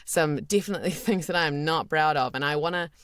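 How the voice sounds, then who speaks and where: A woman with a deep voice, a voice actor being interviewed as a guest, talking candidly and reflectively, with many fillers and restarts. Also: fast talker, fairly bright tone, clear, resonant tone, no echo, studio quality. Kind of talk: podcast interview.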